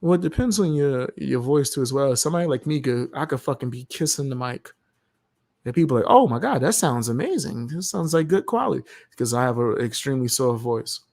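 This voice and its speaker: Gravelly laid-back man chatting. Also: mid-range voice, animated, brisk, darkish tone. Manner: laid-back, swears freely, slangy. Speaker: streamer. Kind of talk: casual chat about recording.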